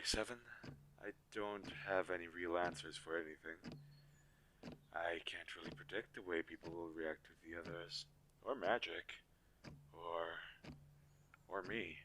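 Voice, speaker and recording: A man playing a weary, protective magical inventor in an audio drama, speaking earnestly with halting pauses. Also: bright, forceful tone. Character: weary, protective male inventor-mage, creator of living dolls. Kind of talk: scripted audio roleplay drama. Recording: low quality, audible background hiss.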